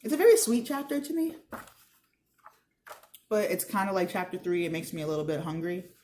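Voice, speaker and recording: A woman's voice: fairly low pitch, very animated, a little fast, mellow, slight background noise. A woman narrates a children's book aloud with expression. She steps out of the text in an informal, teacherly way, as if guiding young listeners through it.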